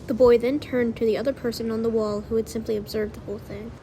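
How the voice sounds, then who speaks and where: A woman's voice: fairly high pitch, little pitch movement, fast, warm tone, a little hiss or hum. A woman narrating a story from a script, as in an audiobook of fan fiction.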